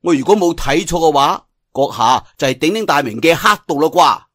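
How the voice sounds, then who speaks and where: A man's voice: fairly high pitch, expressive, brisk, dry recording, studio quality. Man acting a brash, cocky Cantonese martial artist. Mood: mock polite. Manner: loud, challenging, theatrical.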